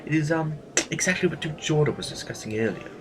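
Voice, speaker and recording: A man playing a smug, haughty aristocrat in an audio drama, speaking formally with hesitant, deliberate pauses. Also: a little high, background noise, lightly acted. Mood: condescending. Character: smug, haughty male aristocrat needling a rival general.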